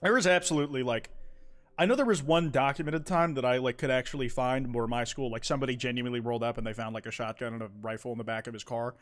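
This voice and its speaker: A man's voice: medium pitch, steady tone, quick pace, a bit bright, faint music behind. A young man from the United States chatting casually on a live stream, reading viewer confessions aloud, rambling and swearing with many restarts.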